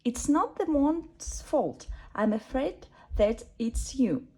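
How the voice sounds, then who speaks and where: Kind young girl, earnest and pleading, high and lilting , voiced by a woman, storybook. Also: expressive, slow pace, darkish tone, husky, exaggerated voice. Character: kind young girl, calm and gently persuasive.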